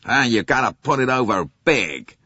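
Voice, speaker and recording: An actor playing a big, brash American salesman with a loud, nasal voice and an American accent. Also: medium pitch, sing-song, normal speed, husky, no room sound, lo-fi sound, pitched above the performer's own voice, heavily acted.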